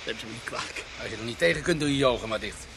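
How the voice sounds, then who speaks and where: An actor playing a blunt, matter-of-fact village doctor in a radio drama, with a dry, clinical, outspoken manner. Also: male voice, mid-range voice, lively intonation, rapid-fire, gravelly, noisy background.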